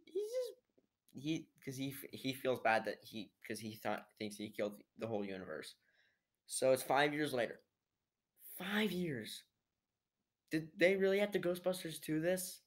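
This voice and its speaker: A man's voice: medium pitch, huge pitch swings, a little slow. A teenage boy giving a casual, unscripted movie review in a rambling, hesitant way, recorded at home on a built-in computer microphone. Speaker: amateur video reviewer. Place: living room at home, indoors.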